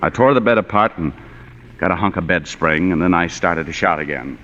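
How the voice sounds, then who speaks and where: A man's voice: low-pitched, brisk, a bit bright. An actor playing a tough, wisecracking male private detective in 1940s radio noir, with sardonic, clipped delivery. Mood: weary, hardboiled. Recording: muffled vintage radio recording with music.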